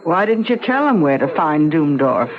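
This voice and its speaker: A firm, brisk saloon-keeping widow, with animated intonation. The old radio drama sounds muffled, with barroom chatter behind her. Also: low-pitched. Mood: brisk, curious. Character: brisk, self-possessed widow running a frontier saloon. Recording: dull lo-fi radio, crowd behind.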